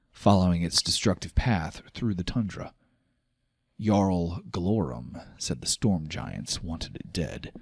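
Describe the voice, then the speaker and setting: A man's voice: deep voice, creaky, a little mellow, slightly rough, dry recording, studio quality. A man running a tabletop fantasy game, mixing dramatic storytelling with casual, sweary table talk. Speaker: game master.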